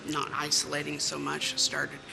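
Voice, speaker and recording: A person speaking plainly and reflectively in a testimonial video. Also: female voice, low-pitched, monotone, moderate pace, gravelly, poor audio. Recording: video clip with music underneath.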